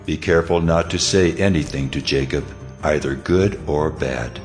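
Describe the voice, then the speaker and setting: The solemn voice of God in a Bible audio drama. It is extremely deep and commanding, with faint music behind. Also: male voice, moderate pace. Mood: solemn warning.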